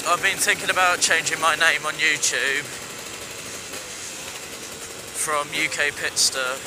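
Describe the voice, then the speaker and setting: A young man from England chatting casually to viewers from a helmet camera while riding a dirt bike, relaxed and rambling. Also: fairly high pitch, slow. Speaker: motovlogger. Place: riding a dirt bike on wet country roads. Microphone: helmet-mounted camera mic. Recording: helmet cam with engine and wind noise. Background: engine and wind noise.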